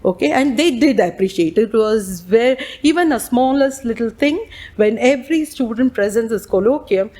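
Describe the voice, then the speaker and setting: A woman, giving a loud, halting talk about her working life to students. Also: a little high. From India. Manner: loud, many restarts and fillers. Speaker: guest speaker.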